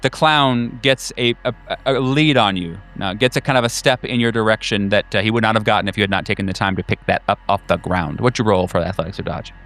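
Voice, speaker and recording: A man working as game master, improvising narration for a horror tabletop game in a halting, informal way. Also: on the low side, a bit bright. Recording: music behind the voice. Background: background music.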